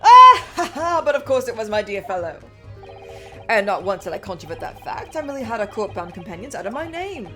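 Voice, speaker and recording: A woman's voice: mid-range voice, very animated, brisk, bright, forceful tone. A woman voicing a pompous, theatrical male Victorian great detective who speaks grandly and formally. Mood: jovial. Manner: grandiose, self-congratulatory, British-style formal speech. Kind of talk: voicing characters while playing a game. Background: game music.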